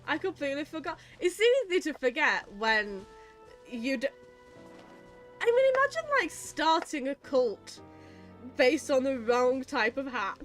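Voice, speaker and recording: A high-voiced British woman chats casually through a video game. Her delivery is animated and exasperated, with a pitch that swoops around and some mild swearing. Music plays softly behind her. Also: a little slow. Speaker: video game streamer playing and reacting. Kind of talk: casual chat, let's-play commentary.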